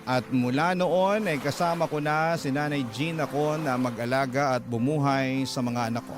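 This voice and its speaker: A man's voice: mid-range voice, moderate pace, music in the background. A man reading listeners' letters as a radio host, in a scripted dramatic storytelling style.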